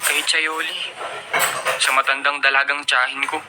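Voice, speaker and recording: A man's voice: on the high side, level tone, measured pace, lightly acted. A young man playing an aggrieved but polite student son in a Filipino radio drama, earnest and plaintive. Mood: weary, resentful. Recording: very noisy radio drama recording.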